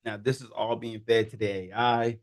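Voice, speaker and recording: A man in a casual conversation, demonstrating software in an animated, amused way and laughing often. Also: lowish voice, normal speed. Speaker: guest, tech founder. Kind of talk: casual demo talk.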